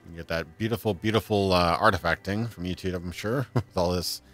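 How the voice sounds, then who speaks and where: A man with a low, deep voice talks easily through a livestreamed game, chuckling often and answering his chat between moves. Soft game music runs underneath him from a home setup. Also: brisk. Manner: chatty, laughs often, reads chat aloud. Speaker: livestream gamer. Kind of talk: casual let's-play chat. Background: faint game music.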